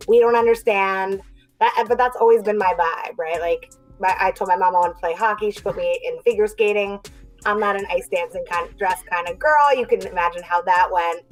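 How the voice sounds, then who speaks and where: A woman's voice: mid-range voice, fairly quick, soft background music, low-quality recording. A young woman from New Jersey, a podcast guest chatting casually and upbeat, acting out little quotes as she talks. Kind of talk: casual chat.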